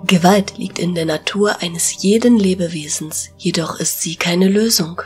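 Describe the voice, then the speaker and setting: Woman playing a gentle, low-voiced nature goddess. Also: a little slow, soft background music, close to an everyday voice. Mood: gentle, philosophical. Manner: calm, lecturing. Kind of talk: fantasy parody audio drama acting.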